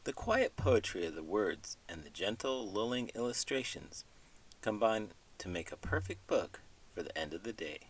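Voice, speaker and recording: A man's voice: fairly low pitch, sing-song, moderate pace, slightly rough, distant mic, rough recording. A man hosting a bedtime read-aloud, delivering picture-book lines and scripted chat in a soothing storytelling style. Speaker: host and bedtime story reader.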